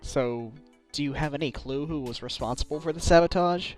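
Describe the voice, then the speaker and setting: A man playing an earnest, determined young defense lawyer in a video game fandub, probing with deliberate, dramatic delivery. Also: on the high side, natural voice. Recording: amateur recording with music.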